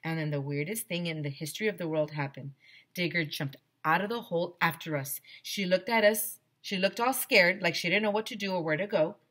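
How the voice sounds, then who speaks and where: A woman's voice: on the low side, fairly quick, a bit bright. A middle-aged woman, a teacher reading a children's storybook aloud expressively into a headset mic. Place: small decorated room indoors.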